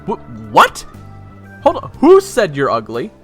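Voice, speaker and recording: A man playing a loyal, hot-headed human companion in an audio fantasy drama, talking in a casual, halting, earnest way. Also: high-pitched, huge pitch swings, a bit bright, soft background music, full character voice. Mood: indignant. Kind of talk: audio roleplay drama with first-person narration.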